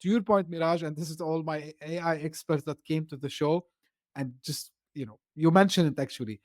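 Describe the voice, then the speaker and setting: A male podcast host who is not a native English speaker chats loosely and keeps dropping in "you know," his voice sitting a bit high. The sound is close and dry, with no room around it. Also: fairly quick, dry recording. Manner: talkative, loose phrasing full of "you know". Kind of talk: casual interview chat.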